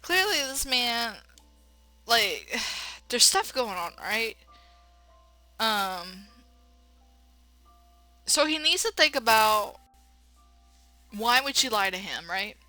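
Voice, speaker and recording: A woman playing a game on camera, switching between dramatic read-aloud narration and chatty, animated commentary with folksy exclamations. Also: on the high side, takes it slowly, pauses often, no room sound, soft background music. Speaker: let's player.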